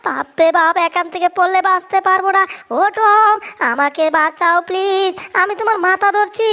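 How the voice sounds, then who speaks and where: Cartoon dub role: a little scheming mouse, very high-pitched, whiny and greedy. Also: moderate pace, sharp tone, full character voice. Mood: frightened. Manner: whining, exclamatory, self-narrating. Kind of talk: Bengali comedy cartoon dub.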